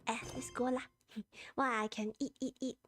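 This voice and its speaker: A woman's voice: fairly high pitch, little pitch movement, normal speed, fairly bright tone, slight background noise. A woman with a Malaysian accent chatting casually on a game livestream, talking half to herself in short, repetitive fragments. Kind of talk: casual livestream chat while playing a game. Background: game music.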